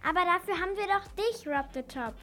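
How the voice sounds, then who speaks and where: A woman's voice: very high-pitched, animated, brisk, bright, forceful tone, faint music behind, heavily acted. A female performer playing a messy, lazy, whiny youngster in a children's radio play, exclaiming and complaining. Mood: puzzled.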